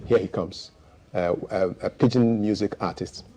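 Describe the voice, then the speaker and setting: A man's voice: fairly low pitch, moderate pace, a little hiss or hum. A man hosting a studio interview, giving brief affirmations and leading into a music break. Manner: brief affirmations, relaxed lead-ins. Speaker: host.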